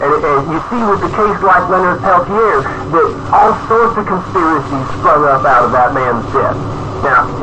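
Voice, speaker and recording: A man playing an earnest, rambling UFO conspiracy author being interviewed on an old, noisy cassette radio broadcast. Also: a little high. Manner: folksy, rambling, insistent. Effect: vintage radio tape. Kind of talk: improvised-sounding radio interview.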